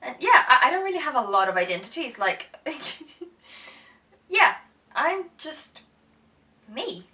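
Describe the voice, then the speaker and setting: A woman's voice: high voice, big pitch swings, slow pace, long pauses, pushed and bright, far from the mic. A woman with an accent mixing Brazilian, American, English and Northern Irish, chatting casually about books to camera with lots of fillers and little laughs. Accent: mixed Brazilian, American, English and Northern Irish. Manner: chatty, giggly, many fillers and self-corrections. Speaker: book vlogger.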